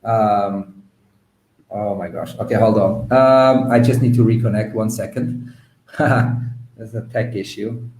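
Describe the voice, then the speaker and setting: A man's voice: fairly low pitch, steady tone, takes it slowly, darkish tone, reverberant, poor audio. A man hosting a live-stream interview in an effusive, informal way, repeating words for emphasis. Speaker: live stream show host.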